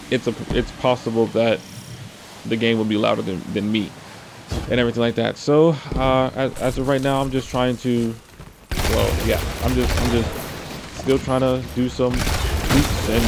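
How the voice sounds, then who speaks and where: A man with a deep voice giving casual, rambling commentary on his own gameplay, restarting his sentences often and repeating words. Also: vocal fry, background noise. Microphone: desk mic with pop filter. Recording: voice under loud game music. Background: loud game music and gunfire.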